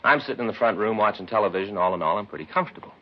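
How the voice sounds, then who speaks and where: An actor with an American accent playing a put-upon police detective who grumbles in folksy deadpan in a 1950s radio drama. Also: male voice, lowish voice, racing, husky. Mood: aggrieved. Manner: folksy, aggrieved deadpan storytelling. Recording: muffled vintage radio recording, hissy.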